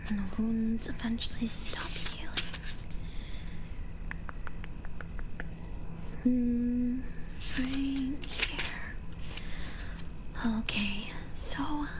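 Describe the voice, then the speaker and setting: A woman voicing a gentle, teasing, enigmatic forest witch healer in an ASMR roleplay, murmuring with pauses in a breathy whisper. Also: fairly high pitch. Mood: focused, soothing. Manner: slow, gentle, pausing murmurs.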